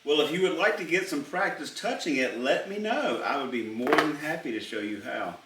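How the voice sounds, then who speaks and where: A man's voice: on the high side, animated, brisk, a bit bright, distant mic, a little hiss or hum. A man bantering casually as co-host of a home vlog, teasing and wisecracking. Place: indoors in a trailer home. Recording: roomy echo, off the mic, faint music.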